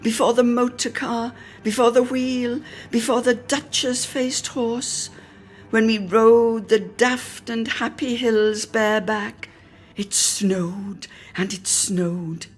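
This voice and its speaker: Female dramatic reader for literary memoir: highish voice, unhurried, theatrical delivery with drawn-out phrases. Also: steady tone. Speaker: solo reader.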